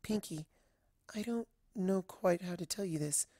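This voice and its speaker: A woman voicing a shy, gentle young female pegasus pony in a soft, breathy, hesitant voice. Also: on the low side, measured pace, dry recording, clean studio sound. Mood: timid. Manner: hesitant, meek.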